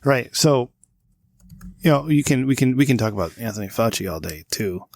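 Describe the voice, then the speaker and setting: A man's voice: mid-range voice, animated, normal speed, gravelly, dry recording. A man co-hosting a podcast in casual chat, laid-back and hedging with fillers, laughing often. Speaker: podcast co-host.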